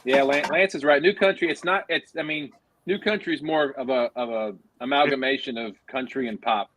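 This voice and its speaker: A man's voice: medium pitch, a little fast, a bit bright, no echo, rough recording. Easy-laughing man from Colorado. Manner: laughs easily, explains things. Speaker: co-host reading viewer comments. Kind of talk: casual chat.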